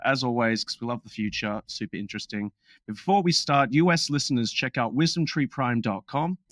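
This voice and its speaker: A man hosts a podcast in an upbeat, joking tone. His voice sits in a middle pitch. The sound is dry and close, with no room echo. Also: fairly bright tone. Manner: upbeat, jokey, quick asides. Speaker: podcast host. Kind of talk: casual podcast chat with read disclaimer.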